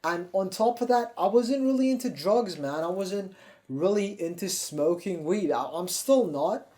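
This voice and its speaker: A young man from Turkey who speaks English with an American-sounding accent, in a slightly higher-than-usual register. He talks in a loose confessional monologue, blunt and full of swearing, restarting his sentences as he goes. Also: expressive, unhurried, warm tone. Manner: blunt and profane, rambling with many restarts. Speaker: self-improvement YouTuber.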